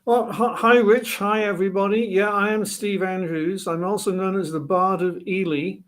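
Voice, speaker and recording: A man's voice: high voice, steady tone, moderate pace. A man from Wales, a guest musician, telling a long rambling story in a podcast interview with many restarts.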